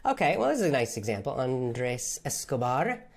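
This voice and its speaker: A man's voice: medium pitch, big pitch swings, normal speed. A man who teaches English, answering viewers' questions in an enthusiastic, motivational way. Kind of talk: live Q&A with viewers.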